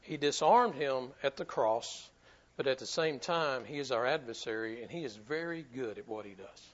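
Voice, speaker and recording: A man's voice: medium pitch, expressive, moderate pace, lo-fi sound. A man preaching a sermon to his church in a folksy, repetitive way, often stumbling over his words. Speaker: pastor.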